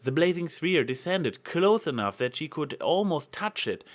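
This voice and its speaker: A man's voice: a little high, very animated, normal speed. A man reading fan fiction aloud as a narrator, in a dramatic storytelling style.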